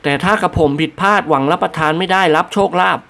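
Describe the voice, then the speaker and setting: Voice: medium pitch, steady tone, quick pace, creaky, a bit bright, voice pushed up. A reader voicing a humble, deferential male servant answering his masters politely in an audiobook.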